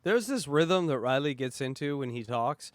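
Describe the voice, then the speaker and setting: Profane rambling podcast host, dry sound. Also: male voice, mid-range voice, animated, moderate pace, no room sound. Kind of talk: casual reaction podcast chat.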